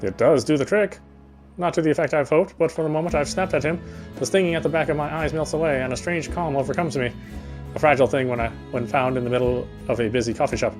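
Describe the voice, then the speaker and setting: A man's voice: on the high side, fast talker, a little mellow, soft background music, rough recording. A man reading first-person visual novel text aloud as narration, stumbling now and then over words.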